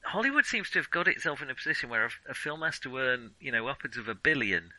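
A man's voice: medium pitch, big pitch swings, fast, sharp tone, dry recording, rough recording. A man co-hosting a podcast, chatting casually about films in a hesitant, rambling way as he thinks aloud. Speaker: podcast co-host. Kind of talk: casual film discussion.

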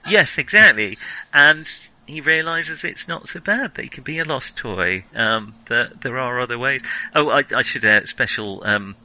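Bright-voiced man talking films, halting and keen. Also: medium pitch, expressive, rough recording. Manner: hesitant, stammering, searching for words. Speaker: film podcast host. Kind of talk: casual film discussion.

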